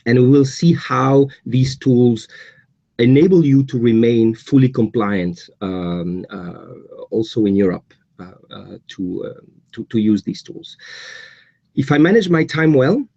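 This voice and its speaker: Belgian-accented man, mellow tone, halting webinar delivery with many restarts, dry close sound. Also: mid-range voice, lively intonation, gravelly, no echo, highs cut off. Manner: hesitant, many repeats and fillers. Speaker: guest speaker. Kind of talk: webinar presentation.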